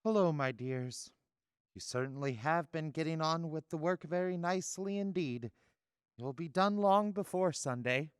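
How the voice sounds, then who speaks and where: A man's voice: fairly high pitch, normal speed, clear, resonant tone, dry recording, clean studio sound, lightly acted. A man playing a kindly, tender, grieving father in a radio-style drama. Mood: gentle, subdued.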